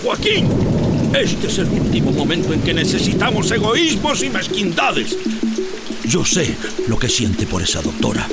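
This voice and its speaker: An actor with a Central American accent playing a firm, reproachful community leader in a radio drama. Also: male voice, high voice, huge pitch swings, measured pace, loud music behind the voice, full character voice. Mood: urgent, reproachful.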